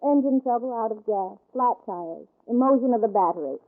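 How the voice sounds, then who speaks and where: An actress playing a witty, teasing wife eager to play detective, arch and playful in 1940s radio drama style. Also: female voice, a little high, expressive, brisk. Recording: tinny, band-limited old radio recording.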